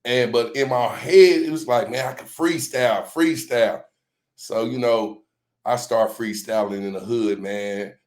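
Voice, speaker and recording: A man being interviewed as a music promoter, telling his story in relaxed, slang-filled casual talk. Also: medium pitch, unhurried. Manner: laid-back slang-heavy storytelling.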